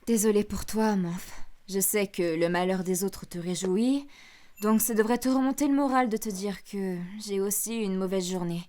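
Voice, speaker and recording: A woman playing an anxious, self-deprecating young university dropout in an animated comedy dub, dry, rambling and resigned. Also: medium pitch, normal speed, natural voice. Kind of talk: animated slice-of-life comedy fan dub.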